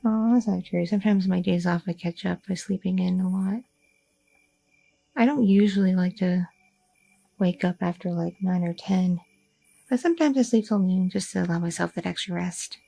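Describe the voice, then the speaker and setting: A young goth girlfriend, acted by a woman in an ASMR roleplay, chatty and teasingly curious. Her voice is soft-edged and mellow, with a husky grain and a crackle of vocal fry. She rambles casually in everyday slang. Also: on the low side, moderate pace. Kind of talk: intimate ASMR audio roleplay.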